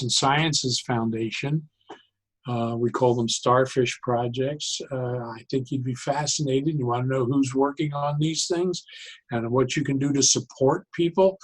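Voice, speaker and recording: A man's voice: mid-range voice, low-quality recording. Encouraging older man in conversation. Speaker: podcast guest, laughter advocate. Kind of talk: conversational interview talk.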